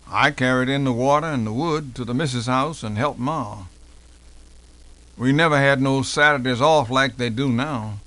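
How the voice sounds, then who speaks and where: A man's voice: medium pitch, fairly bright tone, rough recording. A man playing an elderly formerly enslaved American man recalling his childhood in a folksy, dialect-heavy dramatic reenactment. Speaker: professor doing a dramatic reading. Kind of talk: dramatic first-person oral history reenactment.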